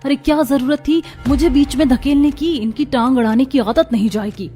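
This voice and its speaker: A woman acts out a peevish young city wife in a cartoon family drama. Her high voice complains and pleads, a daughter-in-law who has little patience for village life. Music plays underneath. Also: moderate pace, mellow, natural voice. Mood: resentful. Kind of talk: Hindi cartoon story voice acting.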